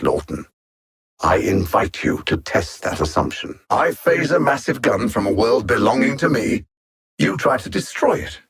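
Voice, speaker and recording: A man's voice: medium pitch, fairly bright tone, breathy edge, exaggerated voice. A man playing an arrogant, coldly mocking alien machine intelligence, a video game villain with a haughty, erudite and theatrical delivery. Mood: imperious.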